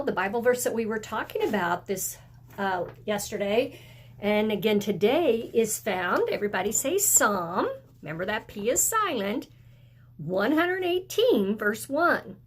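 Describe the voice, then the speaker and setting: A woman teaching young children as a kindergarten teacher, speaking deliberately and encouragingly, with questions and pauses for answers. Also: mid-range voice. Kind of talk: video lesson and read-aloud for young children.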